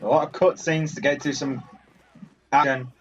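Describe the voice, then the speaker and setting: A man's voice: fairly high pitch, fairly even intonation, moderate pace, lo-fi sound. A man giving casual let's play commentary in a rambling, offhand, dryly wry way. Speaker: gaming video host.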